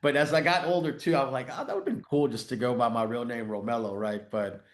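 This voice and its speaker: A man's voice: medium pitch, fast talker. Laid-back male podcast host. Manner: laid-back, peppers talk with man and right. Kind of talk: casual interview chat.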